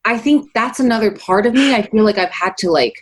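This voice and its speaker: A woman's voice: mid-range voice, lively intonation, a little fast, husky. A young woman from Taiwan, a musician guest in her twenties, talking casually in an interview with many fillers and frequent laughs.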